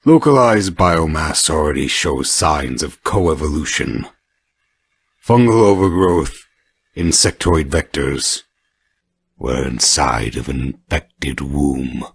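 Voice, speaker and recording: A deep, husky voice of a male actor plays a grim specialist in a science-fiction setting. His reporting is clinical and clipped, with an ominous weight. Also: expressive, takes it slowly, exaggerated voice. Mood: grim, urgent. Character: grim sci-fi field specialist reporting infection. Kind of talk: science-fiction character acting.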